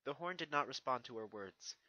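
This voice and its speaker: A man's voice: mid-range voice, animated, brisk, sharp tone, no room sound. A man reading a scripted story as its narrator. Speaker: fan fiction narrator.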